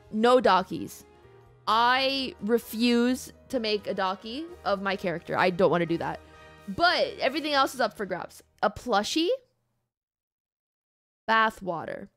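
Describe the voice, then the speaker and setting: A woman's voice: medium pitch, a bit bright, dry recording, clean studio sound. A woman livestreamer speaks in a mid-range voice. She chats casually with her audience, informal and playful, with the odd mild curse. Music plays in the background. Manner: informal, playful, mildly profane. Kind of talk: casual chat with live audience.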